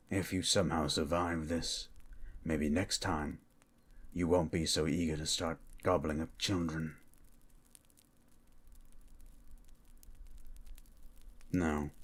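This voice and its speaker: A man playing a stern, menacing monster hunter in an ASMR roleplay, with a low, gruff voice and a terse, threatening manner. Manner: terse, threatening, grunts hmm.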